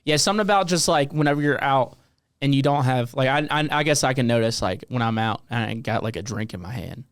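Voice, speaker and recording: A man's voice: mid-range voice, quick pace, smooth, no room sound, studio quality. A man from Mississippi with a Southern US accent, hosting a casual chat in a laid-back, slangy, swear-heavy way. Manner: laid-back, slangy, swears a lot, calls people dude. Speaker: host.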